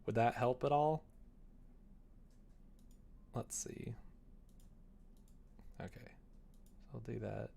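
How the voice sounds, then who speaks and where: Young man, livestreamer, casual rambling talk, muttering to himself, animated reactions, medium pitch. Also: slow pace, gravelly, long pauses, slight background noise. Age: young adult. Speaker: livestreamer developing a visual novel. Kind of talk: casual livestream chat while working.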